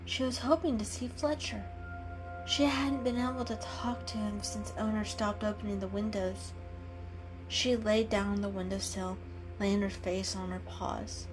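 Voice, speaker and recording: A woman giving an expressive, dramatic reading of a children's animal story as a storyteller. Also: mid-range voice, unhurried, poor audio. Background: background music.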